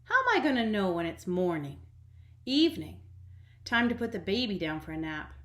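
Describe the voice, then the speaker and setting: A woman's voice: medium pitch, big pitch swings, normal speed, fairly bright tone. A woman voicing a folksy, plain-spoken, commanding mother figure in a storybook reading. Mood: folksy, bossy. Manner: folksy Southern-style colloquial phrasing, plain-spoken, commanding. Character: Big Momma, folksy no-nonsense mother creator, pleased with her work. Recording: echoey room.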